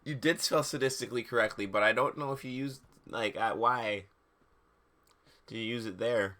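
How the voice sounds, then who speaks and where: A man's voice: mid-range voice, normal speed, pushed and bright. A man hosting a livestream dramatic reading, mocking the text in incredulous, exaggerated asides. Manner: theatrical, incredulous, exaggerated emphasis. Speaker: livestream host reading fan fiction aloud.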